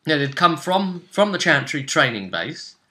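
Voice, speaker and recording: Guy running a tabletop game chatting thru the recap, bright voice, really up and down. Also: a little high, big pitch swings, normal speed. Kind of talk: casual chat recapping a role-playing game session.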